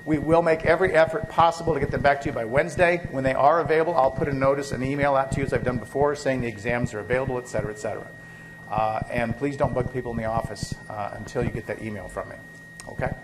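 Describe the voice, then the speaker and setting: A man's voice: medium pitch, steady tone, fast, rough recording. A male biochemistry professor giving a university lecture while catching a cold, speaking loosely with many fillers and restarts. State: catching a cold, occasional coughs. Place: lecture hall.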